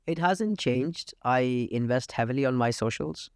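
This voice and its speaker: A gravelly-voiced man from India speaks as a podcast guest, confident and matter-of-fact, pausing often on "uh." The sound is dry and close, with no room echo. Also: mid-range voice, animated, darkish tone. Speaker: real estate agent, podcast guest. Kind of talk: casual interview talk. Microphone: podcast studio mic.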